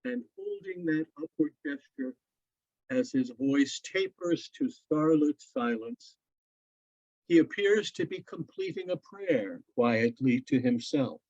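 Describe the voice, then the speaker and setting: A man narrating a literary story aloud in a deliberate, tender manner, as a storyteller reading from a written piece. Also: fairly high pitch, animated, darkish tone. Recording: dull, lo-fi online event audio.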